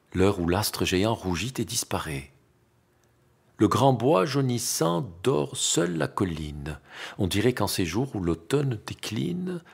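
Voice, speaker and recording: A man reciting literary poetry in an expressive, theatrical, declamatory manner with dramatic pauses and a husky, gravelly voice. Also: fairly low pitch. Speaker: poetry reader.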